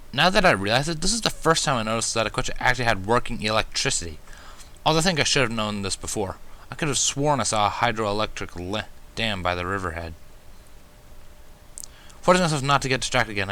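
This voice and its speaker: Bright, low-voiced man narrating a story. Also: clear, resonant tone. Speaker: fan fiction reader. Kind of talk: expressive first-person story narration.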